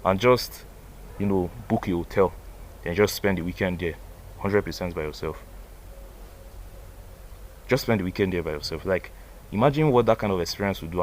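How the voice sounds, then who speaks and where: Young guy, deep voice, rambly chill vlog talk outside, faint cars going by. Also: slight background noise. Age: 20s. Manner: rambling, loose, full of "like" and "bro". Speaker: vlogger. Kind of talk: casual motivational monologue. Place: outdoors by a banana tree.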